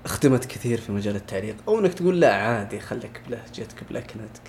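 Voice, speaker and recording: A man hosts a casual podcast interview, winding through long, chatty questions. His lowish voice has a gravelly edge and he talks quickly, with a faint hiss in the background. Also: animated, darkish tone. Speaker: podcast host.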